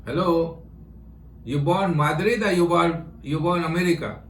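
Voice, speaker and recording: A man leads a video call as a spiritual teacher, teasing his callers in broken English mixed with Spanish. His voice sits a touch high. The call sounds roomy, with a faint hiss behind him. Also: measured pace. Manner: playful, joking, broken English with Spanish phrases. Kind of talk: casual chat.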